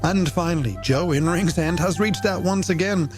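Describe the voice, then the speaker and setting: A man's voice: a little high, moderate pace. A man presenting a late-night music radio show in playful, theatrical, florid patter from a studio. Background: music bed under the voice.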